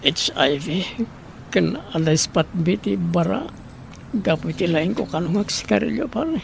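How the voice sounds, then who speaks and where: A man acting a sick, struggling, downcast smoker in an Ilocano audio drama, with an Ilocano accent and a creaky, gravelly voice. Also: high-pitched, expressive, measured pace, full character voice. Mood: confiding. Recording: faint background noise.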